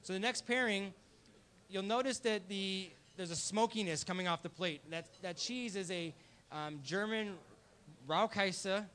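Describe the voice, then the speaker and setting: A man's voice: sits high, unhurried, pushed and bright. A man from Southern California hosting a live beer and cheese pairing, explaining tastes in a friendly, casual way. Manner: friendly, explanatory, some restarts. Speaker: host. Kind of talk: casual live tasting talk.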